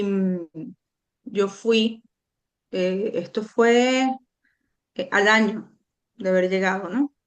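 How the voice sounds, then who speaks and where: Earnest woman telling her story. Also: mid-range voice. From Venezuela. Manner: reflective, earnest, with frequent hesitations. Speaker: guest. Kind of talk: personal storytelling in an interview.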